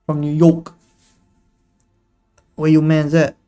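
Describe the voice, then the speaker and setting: A man's voice: a little high, monotone, slow, mellow, clean tone. Slangy young man, trading stream. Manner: slangy, chatty, teasing viewers. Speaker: livestream trading host. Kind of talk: casual live commentary to chat.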